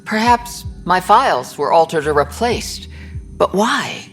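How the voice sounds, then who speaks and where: An actress playing a principled female alien scientist in a video game, with formal, measured diction. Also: lowish voice, lively intonation, soft background music. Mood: thoughtful. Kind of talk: video game dialogue acting.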